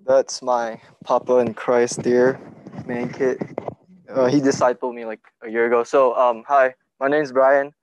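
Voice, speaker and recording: A man's voice: medium pitch, level tone, a little slow, no echo, dull audio. A young man from Malaysia, a student giving a nervous personal testimony full of ums. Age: young adult.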